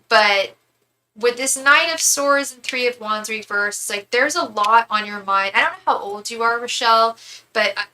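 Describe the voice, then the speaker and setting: Brief: woman, thirties, tarot reader live-streaming, talky and digressive, sharp bright tone. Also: medium pitch, poor audio. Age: 30s. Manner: chatty, rambling, many asides and restarts. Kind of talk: live tarot reading for viewers.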